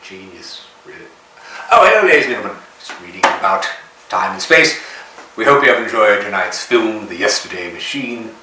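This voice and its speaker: A man's voice: on the low side, expressive, normal speed, bright, forceful tone. Echoey, distant male movie host. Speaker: horror and sci-fi movie host. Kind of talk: scripted movie-host sign-off. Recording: roomy, distant mic, faint noise.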